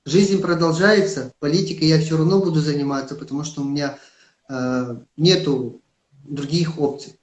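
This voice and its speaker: A man's voice: on the high side, fairly even intonation, normal speed. Earnest man with a Yakut accent. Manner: earnest, emphatic, with hesitant fillers. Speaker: political candidate. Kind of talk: live-streamed interview. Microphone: phone on a live stream. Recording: roomy echo.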